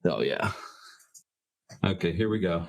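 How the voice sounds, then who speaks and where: Low-voiced man playing a tabletop game. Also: animated, a little slow, a little hiss or hum. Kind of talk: casual improvised roleplay and table chat.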